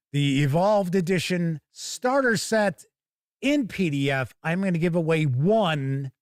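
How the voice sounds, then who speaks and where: A man's voice: a little high, no room sound, clean studio sound. A man hosting a tabletop gaming livestream, chatting casually and informally to viewers as he thinks aloud. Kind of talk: casual live unboxing chat to viewers.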